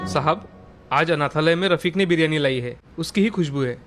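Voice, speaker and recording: A man's voice: fairly high pitch, normal speed, a bit bright, faint music behind, lightly acted. A man voicing a courteous orphanage manager in a story, polite and deferential.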